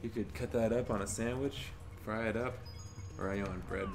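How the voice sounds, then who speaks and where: Co-host on the couch, low and animated, dry jokes, game music blaring behind. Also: male voice, brisk. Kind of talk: casual banter.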